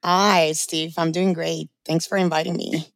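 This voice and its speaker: A woman from Colombia, a startup co-founder talking as a podcast guest in a friendly, measured way. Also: sits low, level tone, vocal fry, no room sound. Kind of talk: podcast interview.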